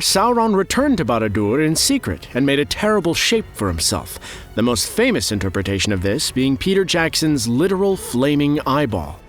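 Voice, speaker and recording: A man narrating a scripted explainer in a wry, playful, conversational way, with joking asides. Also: on the high side. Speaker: presenter of a fantasy lore explainer video.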